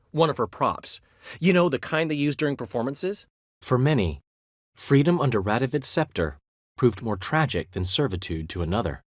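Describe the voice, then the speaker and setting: A flamboyant, vain bard, theatrical and wry as he boasts and teases his friends. His pitch swings in a lively way, with a slightly husky grain. The recording is dry and close, with no room sound. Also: dry recording. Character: flamboyant male bard, vain, charming, talkative. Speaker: game character. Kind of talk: game dialogue voice acting.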